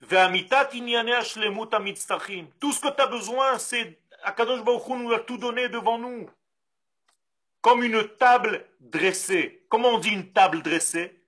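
A man from Israel with an Israeli accent, a Hebrew native speaker giving a Torah lesson in French, animated and full of rhetorical questions. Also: very high-pitched, normal speed. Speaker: rabbi.

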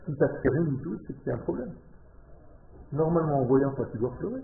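A man from Israel, speaking French as a learned language and giving a passionate religious lesson to a small group, shifting from quiet talk to loud exclamations. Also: on the high side, animated, quick pace. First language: Hebrew. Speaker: religious teacher. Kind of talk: Torah lesson to a live group. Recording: tinny phone-like echoey room.

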